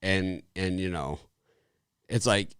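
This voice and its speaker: A man's voice: low voice, takes it slowly, a bit bright, clean tone, no echo, studio quality. A man hosting a live sports betting show, chatting casually and rambling a little, with restarts and short laughs. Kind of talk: casual live-stream chat.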